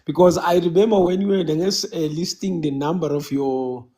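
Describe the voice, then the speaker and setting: A South African man whose first language is Xhosa, calling in to a casual live chat and talking haltingly, with many restarts and fillers. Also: on the high side, steady tone, dark tone. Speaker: caller.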